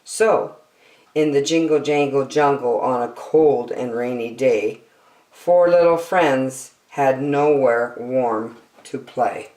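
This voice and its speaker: A woman reading a children's picture book aloud with theatrical, deliberate phrasing, in a roomy, echoey recording. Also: rumbling low, mellow, far from the mic. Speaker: storytime reader.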